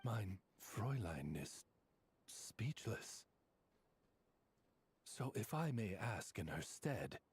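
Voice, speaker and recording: Slow-spoken, courtly raven familiar. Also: male voice, fairly low pitch, slightly rough, exaggerated voice. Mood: formal, inquiring. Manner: formal, pompous, deferential. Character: courtly male raven familiar, loyal and grandiloquent. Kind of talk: scripted game dialogue.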